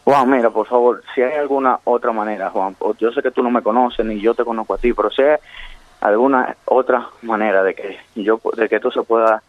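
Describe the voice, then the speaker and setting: A man from New York with a Dominican accent, speaking haltingly and pleadingly in an unscripted phone conversation. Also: medium pitch, steady tone, dry recording. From Queens, New York, of Dominican parents. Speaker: prank call victim, security worker. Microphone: telephone. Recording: dry, dull phone call.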